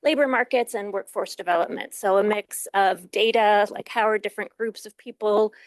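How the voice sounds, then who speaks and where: Measured woman researcher, dry sound. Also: mid-range voice, no echo. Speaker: think tank researcher, panelist. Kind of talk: conference panel remarks. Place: conference forum.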